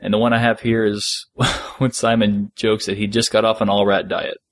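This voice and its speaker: A man's voice: on the low side, flat delivery, moderate pace, no echo, studio quality. A man hosting a podcast, talking casually as a fan discussing a story. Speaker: podcast host.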